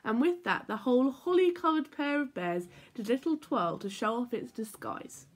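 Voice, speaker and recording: Woman, children's storybook reader, slightly raspy, swooping intonation, playful emphasis. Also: a little high, animated, moderate pace. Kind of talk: animated children's storybook reading.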